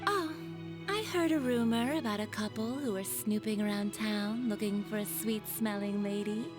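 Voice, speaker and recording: A woman's voice: mid-range voice, moderate pace, lightly acted. A woman playing a flirtatious, self-assured perfume maker in a video game, with a coy, teasing, theatrical delivery. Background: video game music.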